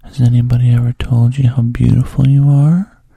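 Coaxing hushed man, breathy and gravelly, low dry whisper, as a narrator puts him on. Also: level tone, moderate pace, no echo. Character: soft-spoken coaxing adult man.

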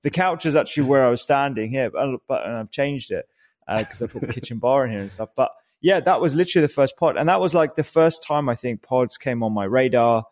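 A man's voice: medium pitch, expressive, fairly quick. A man hosting a podcast interview in a living room, chatty and laughing often, with frequent restarts. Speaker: podcast host. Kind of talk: casual interview chat.

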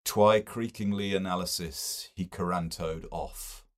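A man reads literary fiction aloud in a fairly low voice. His pace is very slow and deliberate, and the narration is expressive and measured. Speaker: reader of a literary novel.

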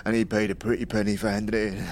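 A man's voice: lowish voice, little pitch movement, very fast, natural voice. Man playing a panicky, stammering cowardly thug. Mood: panicked. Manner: stammering, nervous chuckles.

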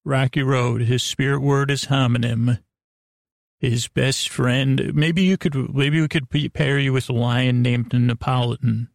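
A male podcast host with a creaky, gravelly voice at a medium pitch, recorded dry and close. He improvises a silly monologue, wandering off, stumbling over words and restarting, all with mock sincerity. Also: fairly even intonation, dry recording. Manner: meandering, halting, stammering, trails off and restarts; silly, absurd, mock-earnest. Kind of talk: rambling improvised monologue addressed to an imaginary guest.

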